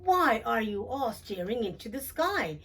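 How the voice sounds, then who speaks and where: Woman as a pompous, unhurried horse. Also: fairly high pitch, very animated, husky, recorded from a distance, full character voice. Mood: puzzled. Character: self-important male farm horse, settling disputes.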